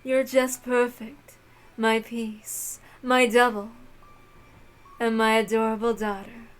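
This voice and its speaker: A woman voicing a cruel, imperious witch mistress who speaks in a languid, haughty, measured way. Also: a little high, flat delivery. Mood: pleased, languid.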